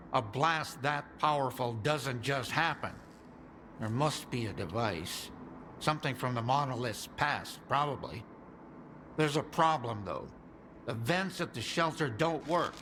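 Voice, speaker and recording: An actor playing an anxious scientist who explains grave matters earnestly in a video game. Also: male voice, mid-range voice, background noise. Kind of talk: video game cutscene acting.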